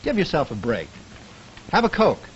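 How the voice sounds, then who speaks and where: A man delivering a folksy 1950s advertising pitch as a commercial announcer. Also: huge pitch swings, moderate pace, very noisy. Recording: dull vintage commercial soundtrack. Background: music.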